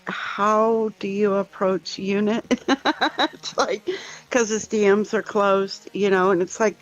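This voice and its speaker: A woman's voice: medium pitch, little pitch movement, slow, muffled recording. A woman co-hosting a casual chat, hesitant and full of ums, laughing often. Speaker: co-host.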